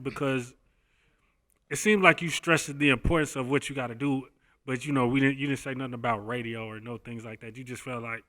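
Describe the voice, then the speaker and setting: A man's voice: mid-range voice, a bit bright. A man interviewing a guest in a casual, conversational way, with some slang.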